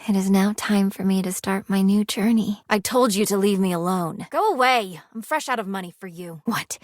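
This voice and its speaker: A prim and earnest young woman from a dating game, played by an actress. Her pitch sits mid-range for a woman, and she words everything formally and precisely, like someone careful with every coin. The sound is close and dry. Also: normal speed, no room sound, close to an everyday voice. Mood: tender, then cold, dismissive, then thoughtful. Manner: formal, precise wording with few contractions. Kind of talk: video game character dialogue.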